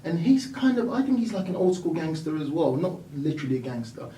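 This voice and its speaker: A man from the United Kingdom tells his story with energy, slipping in and out of voices as he quotes people. His voice sits on the higher side. The room is echoey and he sounds a little far from the mic. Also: very animated, quick pace, dark tone, slight background noise. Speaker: property investor and mentor. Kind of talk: storytelling interview guest.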